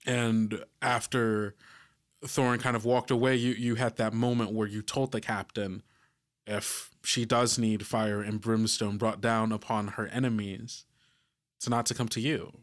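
A man runs a tabletop game as its game master, narrating in a fairly low voice. His delivery is very unhurried and measured, setting each scene piece by piece. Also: level tone.

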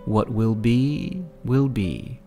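A man voicing a calm, patient grown man, speaking softly and in a measured way in an audiobook. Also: mid-range voice, vocal fry, faint music behind, exaggerated voice. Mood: tender. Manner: soft, measured, slow.

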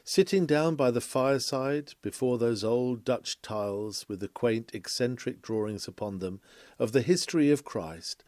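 A man reading a sermon aloud from a script in a formal, oratorical, measured manner. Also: medium pitch, a little mellow, no echo, clean studio sound. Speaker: reader of a historical sermon.

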